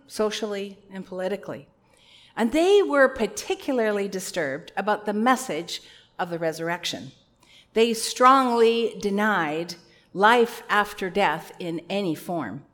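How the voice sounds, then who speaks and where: Woman preacher, loud voice, earnest and conversational, mid pitch. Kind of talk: sermon.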